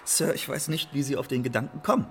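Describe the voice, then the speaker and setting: An actor in a German radio drama playing a combative, sarcastic playwright under accusation, wordy and defiant with a husky voice. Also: male voice, mid-range voice, steady tone, fast, slight background noise, natural voice. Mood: mocking, sardonic. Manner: defiant, wordy, repetitive quibbling.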